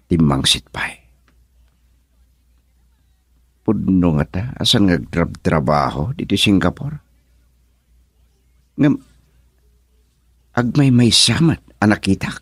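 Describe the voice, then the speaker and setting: An actor playing an aggrieved, frustrated husband in a radio drama. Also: male voice, sits low, big pitch swings, takes it slowly, pauses often, slightly rough, no echo, heavily acted. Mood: bitter.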